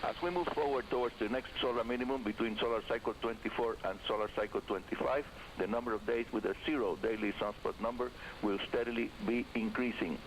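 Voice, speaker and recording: A man with a Cuban accent, a native Spanish speaker, hosting a radio hobby program in a deliberate announcing style over a telephone line on shortwave radio. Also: medium pitch. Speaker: radio host. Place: on a phone line linked to the studio. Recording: hissy, noisy shortwave broadcast, telephone-line audio.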